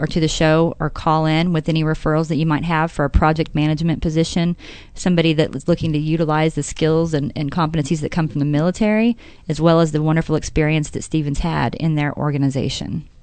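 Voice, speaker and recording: Low-voiced chatty woman host. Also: fairly even intonation, a little fast, a little mellow, clear, resonant tone, dry recording. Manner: chatty, laughs often, many fillers. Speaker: radio show host. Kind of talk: casual radio talk show.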